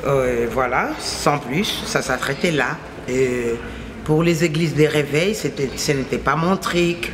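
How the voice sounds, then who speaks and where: A woman's voice: low-pitched, measured pace, noisy background. A woman from Kinshasa with a Congolese French accent, whose first language is Lingala, giving an emphatic religious testimony and restating her phrases in repetitive lists. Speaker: testifying believer.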